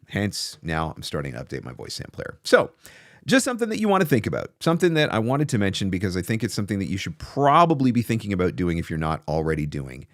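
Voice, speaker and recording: A man in his forties with a fairly low voice talks to his viewers in a casual, emphatic way. His intonation is lively and moves around a lot. He sounds close to a studio mic in a dry small room. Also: no room sound. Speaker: host of a livestream on voiceover business. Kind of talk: casual livestream advice talk to viewers. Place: small indoor home studio. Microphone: large studio microphone close in front.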